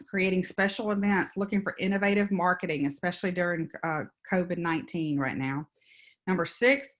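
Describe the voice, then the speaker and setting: A woman's voice: fairly low pitch, fairly bright tone. A woman with a Louisiana Southern accent giving an informal, encouraging workshop presentation in an emphatic, conversational way. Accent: Louisiana Southern American. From Louisiana, United States. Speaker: workshop presenter, business consultant. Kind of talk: informal instructional webinar presentation.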